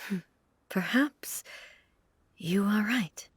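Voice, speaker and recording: An actress playing a reserved, regal woman ruler in a video game, speaking in a calm, measured, formal way. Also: medium pitch, husky, no echo, heavily acted. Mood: pensive. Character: almighty shogun, composed, reserved, regal young woman ruler. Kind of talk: video game character dialogue.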